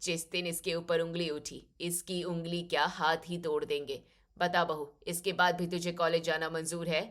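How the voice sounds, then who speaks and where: A woman's voice: fairly low pitch, flat delivery, moderate pace, voice pushed down, lightly acted. A woman playing a stern, commanding older mother-in-law who speaks in curt, authoritative pronouncements in an audio story. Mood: stern, challenging.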